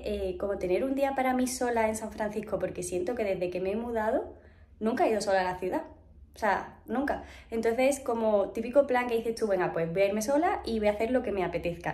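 A woman's voice: mid-range voice, darkish tone. A woman, chatting casually to camera in a vlog, her voice a little stuffy a cold. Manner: chatty and upbeat, many fillers. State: slightly congested from a cold. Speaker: vlogger. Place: home indoors, then city street outdoors. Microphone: phone or camera held at arm's length.

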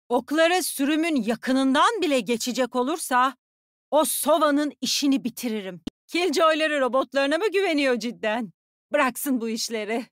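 A woman playing a tough, bossy young soldier who commands animals in a video game, with a brisk, commanding, teasing delivery. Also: high-pitched, a bit bright, dry recording, studio quality. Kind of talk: video game character voice lines.

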